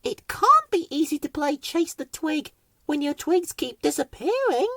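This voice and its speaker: A chatty, fussy little weed from a children's storybook. She speaks in a very high, sing-song female voice. The sound is dry. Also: very animated, measured pace, gravelly, sharp tone, no echo, full character voice.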